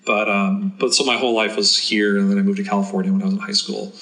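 Low, bright-toned man telling his story. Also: flat delivery, fast, rough recording. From born in Afghanistan, raised in New York. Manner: rambling, filler-heavy storytelling. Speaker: podcast guest. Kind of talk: casual conversation.

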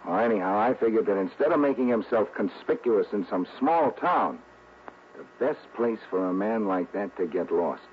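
Man playing deep-voiced, shrewd radio insurance man. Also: lively intonation, moderate pace, natural voice. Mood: confiding. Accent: American. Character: genial, shrewd male insurance man, former narcotics investigator. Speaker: supporting actor in a radio detective drama. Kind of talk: 1960s radio acting. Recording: tinny, hissy old radio recording.

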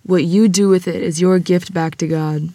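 A woman's voice: fairly low pitch, fairly even intonation, normal speed, dark tone, dry recording, studio quality, natural voice. A woman playing an ailing, frank, devout small-town organist in a table read, with folksy, plainspoken phrasing. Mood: wry, frank. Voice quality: coughing.

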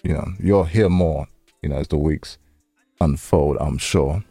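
A man's voice: rumbling low, a little slow, gravelly, warm tone, slightly rough, no room sound, studio quality, soft background music. An American man co-hosting a podcast in casual, unscripted banter, with a laid-back, conversational way of repeating himself. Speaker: podcast co-host.

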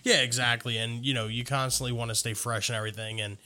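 Bright-voiced man in loose, informal chat. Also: mid-range voice, little pitch movement, fast. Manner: informal, loose phrasing peppered with "like". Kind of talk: casual music chat.

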